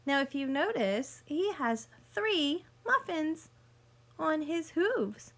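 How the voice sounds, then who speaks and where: High-voiced woman reading to children. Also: expressive, fairly bright tone. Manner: deliberate, explanatory, addressing young listeners with questions. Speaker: teacher reading a picture book aloud to children. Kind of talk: read-aloud storytelling with teaching asides.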